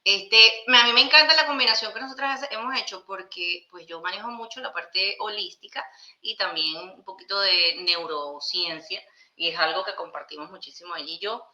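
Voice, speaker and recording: A woman with a strikingly bright, forceful voice hosts a conversation. Her talk is informal and chatty. The room around her sounds echoey. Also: mid-range voice, normal speed. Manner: informal, chatty, interjecting agreement. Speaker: podcast host. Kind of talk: casual conversational hosting.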